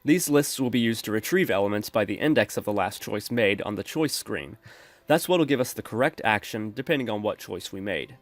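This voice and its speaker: A man calmly explaining code step by step as the host of a screen-recorded programming tutorial. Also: lowish voice, a little fast, no echo. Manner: steady explanatory, addresses viewers as folks.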